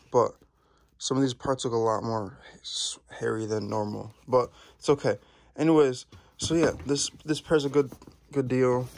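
A man's voice: medium pitch, vocal fry, slight background noise. A young man talking casually to his viewers, rambling with lots of fillers and restarts. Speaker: vlogger. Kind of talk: casual vlog chat.

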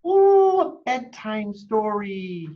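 A man voicing an eager, excitable little chicken girl who blurts and pleads like a child in a storybook. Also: high voice, extremely slow, dull audio, pitched above the performer's own voice, heavily acted.

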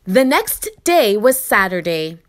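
A woman reading a children's story aloud in expressive narration, with deliberate, theatrical emphasis. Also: medium pitch.

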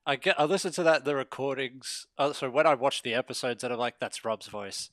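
A man's voice: medium pitch, brisk, fairly bright tone, no room sound, clean studio sound. A man chatting casually as an interview guest, rambling and joking in a self-deprecating way. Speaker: interview guest, amateur voice actor. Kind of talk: casual chat.

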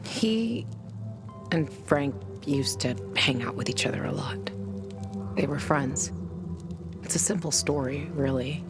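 A woman playing a tough, guarded fighter in her thirties in a video game, speaking in a hushed, wry and bitter way. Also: deep voice, expressive, takes it slowly, pauses often, over music. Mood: wistful, guarded. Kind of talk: intimate cinematic game dialogue.

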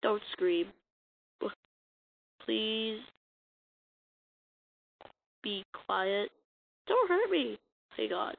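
A woman reading a comic dub as an amateur voice actor, acting out the dialogue in a halting, stumbling way on a muffled, noisy recording. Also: a little high, sing-song, gravelly, no echo. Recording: muffled lo-fi recording with noise and clicks.

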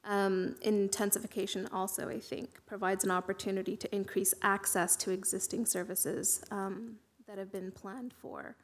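Woman on city council, monotone, voice going a bit, lots of ums. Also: medium pitch. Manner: measured, halting with fillers. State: losing her voice. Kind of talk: council meeting question. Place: council chamber.

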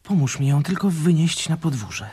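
A man's voice: a little high, expressive, moderate pace, warm tone, a little hiss or hum. An actor playing a grief-stricken, stubborn young man in a radio drama, pleading insistently. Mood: desperate grief. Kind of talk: Polish radio drama acting.

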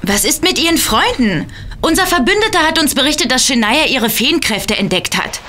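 A woman's voice: high voice, very animated, normal speed, bright, forceful tone, a little hiss or hum, full character voice. An actress playing a mocking, bloodthirsty female vampire in an audio drama, with a taunting, playful delivery. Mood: sly, teasing.

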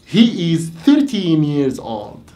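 A man in his twenties, an English teacher, doing a playful classroom dialogue in a meeting room, swinging from loud mock outrage to quiet. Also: on the high side, big pitch swings, slow, rough recording. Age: 20s.

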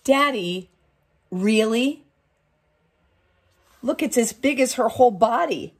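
A sweet, excitable little storybook girl acted out by a woman reading aloud. Her voice sits high and swoops around as she pleads, and she draws her words out. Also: slow pace, breathy edge, heavily acted. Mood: doubtful. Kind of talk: storybook read aloud to children.

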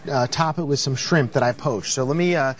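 A man in his late twenties, a chef guest chatting easygoingly through a cooking demo on a studio kitchen set, with a lapel mic and halting restarts. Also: medium pitch, a little hiss or hum. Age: late 20s.

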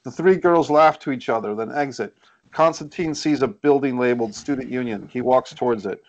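A man's voice: medium pitch, a little fast, dull audio. A man reading scene headings and stage directions as scripted narration for a screenplay table read over a video call. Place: indoors, group video call. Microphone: computer or headset on video call.

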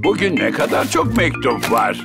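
A man playing a big, jolly zebra postman in a children's cartoon, jovial and deliberate. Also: medium pitch, very animated, creaky, loud music behind the voice. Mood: cheerful.

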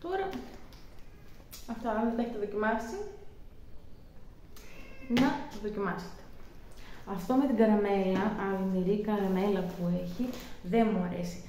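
A woman's voice: medium pitch, pauses often, mellow, heavy reverb, slight background noise. A young woman chatting casually from a sofa, showing off her grocery haul item by item in a rambling, talkative way. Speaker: vlogger. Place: living room, seated on a sofa.

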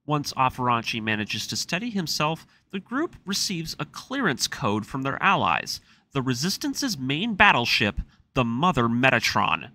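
A man's voice: medium pitch, very animated, moderate pace, bright, forceful tone. A man hosting a video series, narrating a recap in a conversational, semi-scripted way. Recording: music playing behind the voice. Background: music.

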